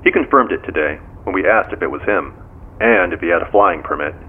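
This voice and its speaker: An actor playing a composed, probing American police detective in an audio drama, speaking formally and in measured phrases over a phone. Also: male voice, deep voice, expressive, breathy edge. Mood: matter-of-fact. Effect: phone call. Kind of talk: modern audio drama dialogue. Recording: tinny phone line with background noise.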